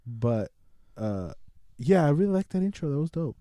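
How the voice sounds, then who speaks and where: A man talks films casually as a podcast co-host. His voice is notably dark and relaxed, recorded very dry. He rambles freely, with slang and swearing. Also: fairly high pitch, moderate pace, no room sound. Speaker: podcast co-host reviewing a film. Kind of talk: casual film review chat.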